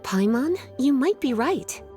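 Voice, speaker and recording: A woman's voice: high-pitched, very animated, a little slow, loud music behind the voice. A woman playing a sultry, teasing, well-read librarian in a video game, with a languid, coquettish manner. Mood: intrigued, teasing. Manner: languid, coquettish, calls listener cutie. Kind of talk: scripted video game acting.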